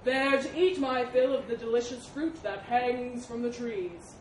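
A woman voicing a huge, arrogant male storybook elephant, bullying and imperious, with a booming, blaring delivery. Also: measured pace, close to an everyday voice. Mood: impatient. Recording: echoey, distant mic, faint rumbling noise.

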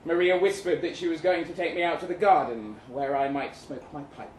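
A man giving an expressive, arch, theatrical reading of a first-person short story at a live event. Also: a little high, brisk. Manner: expressive, wry, arch delivery. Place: indoor live reading event before an audience. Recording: echoey live room, audible noise.

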